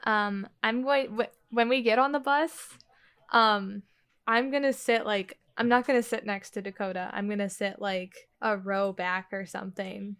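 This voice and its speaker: A woman's voice: mid-range voice, fairly even intonation, unhurried, fairly bright tone, smooth. A woman casually narrating her character's actions as a player in a tabletop game.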